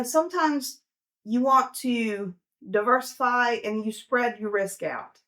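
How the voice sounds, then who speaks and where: A woman's voice: on the high side, fairly bright tone. Chatty woman in her forties. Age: 40s. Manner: chatty, down-to-earth, frequent fillers. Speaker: personal finance host. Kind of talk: casual advice talk to camera.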